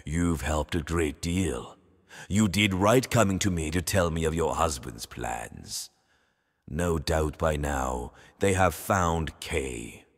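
An actor playing a smug, ruthless world dictator in an audio drama, mock-polite and theatrical. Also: male voice, very deep voice, slow, heavily acted. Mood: coldly menacing. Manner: mock-polite, theatrical, switching from exasperated to coldly quiet. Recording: long reverberant hall.

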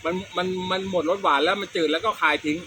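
A middle-aged man hosts a comedy storytelling clip. His voice is high and bright, and he talks casually with crude slang and repeated words. Faint noise sits behind him. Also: fairly even intonation, quick pace. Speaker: host of a comedy storytelling show. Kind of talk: casual comic joke telling.

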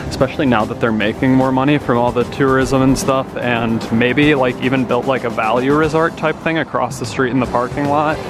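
A man's voice: medium pitch, steady tone, fairly quick, hardly any pauses. Enthusiastic young man vlogging over music. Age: young adult. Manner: enthusiastic, rambling. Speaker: travel vlogger. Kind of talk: casual vlog chat. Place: outdoors walking and inside a moving car. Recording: music behind the voice.

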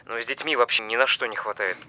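A man's voice: mid-range voice, quick pace, bright, forceful tone, a little hiss or hum. An actor playing a hard-up small-time scammer and young father, awed and sheepish, using hesitant street slang in an audio film. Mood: weary, confiding.

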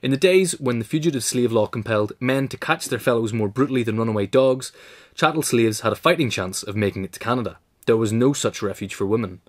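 A man's voice: mid-range voice, quick pace. A man reading a scripted political essay as a narrator.

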